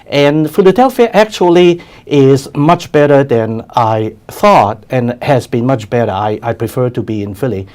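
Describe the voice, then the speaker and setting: A man's voice: animated, darkish tone. Older man from China, talk show host, stop-start delivery with frequent repeats. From China, raised in Hong Kong. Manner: repeats words and restarts, quick laughs, voice drops low when reflective. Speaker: show host, business consultant. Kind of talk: casual chat.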